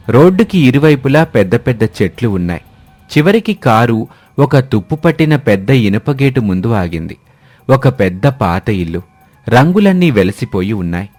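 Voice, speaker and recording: A man tells a scripted moral tale as its narrator. His voice sits at a middle pitch, and soft music plays underneath the storytelling. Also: no room sound.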